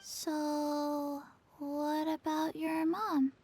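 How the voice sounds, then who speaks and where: A woman voicing a small undead girl in a video game, deadpan, halting and emotionless. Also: high voice, faint music behind.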